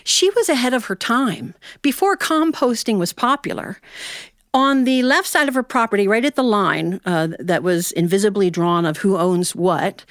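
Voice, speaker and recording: A woman's voice: medium pitch, animated, moderate pace, no room sound, clean studio sound. Folksy American woman storyteller. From Ohio, United States. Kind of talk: live oral storytelling, humorous personal tale.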